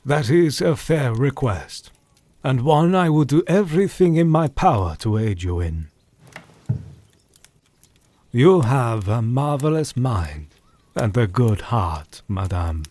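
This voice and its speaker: A man playing a grave, courteous scholarly doctor in an audio drama, speaking formally with measured politeness. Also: mid-range voice, sing-song, darkish tone. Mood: grave, earnest.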